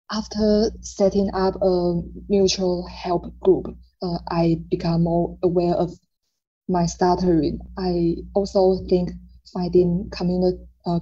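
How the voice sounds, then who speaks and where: A young woman from Taiwan who stutters, sharing her story slowly with many pauses and fillers. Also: lowish voice, mellow, slightly rough, lo-fi sound. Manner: slow, halting, many filler sounds. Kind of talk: personal testimony.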